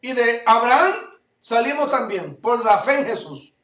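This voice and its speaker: A male pastor from Puerto Rico with a Puerto Rican accent, preaching a fervent sermon that swings between loud declarations and low asides. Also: lively intonation, unhurried. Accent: Puerto Rican Spanish. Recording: echoey room, voice from far off mic.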